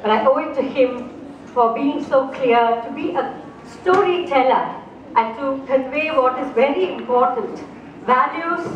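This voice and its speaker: Woman storyteller, high and unhurried, heard from afar in an echoey hall with noise around. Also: lo-fi sound. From India. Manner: slow, expressive, asks the audience questions. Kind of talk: live storytelling to an audience. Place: festival opening ceremony stage. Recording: echoey hall, distant mic, background noise.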